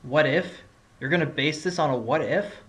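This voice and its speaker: A man's voice: fairly high pitch, normal speed, fairly bright tone, lightly acted. A man playing a scrappy, determined fox defense attorney in a courtroom audio drama, making his points in a pointed, argumentative way. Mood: rattled. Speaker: voice actor in fan audio drama. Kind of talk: courtroom drama acting with inner monologue. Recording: music clearly under the voice.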